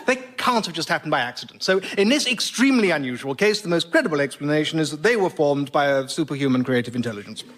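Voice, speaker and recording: An actor playing an earnest, precise older British biology professor, defending himself in a radio comedy interview. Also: male voice, on the high side, expressive, fast talker, few pauses, a bit bright. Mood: defensive, smitten. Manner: earnest, precise, hedging academic. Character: earnest, defensive biology professor besotted with his baby granddaughter. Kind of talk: radio sketch comedy played as a formal interview.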